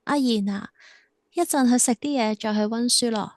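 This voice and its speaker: Actress as soft, high-voiced schoolgirl in love. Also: normal speed, dry recording, studio quality, lightly acted. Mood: caring, casual. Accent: Hong Kong Cantonese. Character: tender, devoted teenage schoolgirl in love. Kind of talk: audio drama acting.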